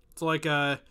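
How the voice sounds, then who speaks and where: A young man with a Michigan accent hosting a live stream, a little high-pitched and slightly whiny. His tone is bright and forceful as he rambles through casual chat, full of fillers and restarts. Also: clean tone. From Michigan, USA. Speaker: streamer host.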